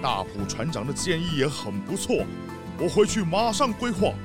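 Pompous, swooping city mayor. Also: male voice, a little high, big pitch swings, normal speed, over music. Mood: pompous approval. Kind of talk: children's detective radio drama acting.

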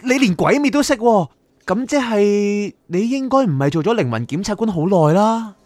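Man acting animated, talkative, curious soul. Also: high-pitched, moderate pace, dry recording. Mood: curious, excited. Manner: eager, wheedling, explanatory. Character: curious, talkative bargaining soul of an anime fan. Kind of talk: Cantonese radio drama acting.

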